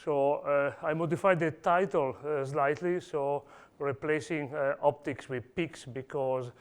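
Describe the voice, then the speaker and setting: A man with an Italian accent giving a technical conference talk, pausing often with fillers and restarts. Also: on the high side, steady tone, smooth. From Italy. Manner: hesitant, many "uh" fillers and restarts. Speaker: conference speaker. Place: conference hall.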